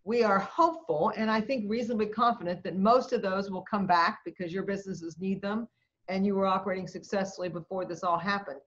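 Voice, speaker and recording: A woman moderating a video call town hall, answering questions in a measured way with many fillers. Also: mid-range voice, highs cut off.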